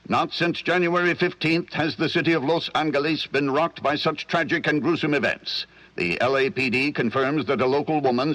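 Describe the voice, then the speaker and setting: An actor playing an earnest, grave American radio newsreader and announcer in the style of the 1940s. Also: male voice, mid-range voice, fairly bright tone, dry recording, natural voice, low-quality recording.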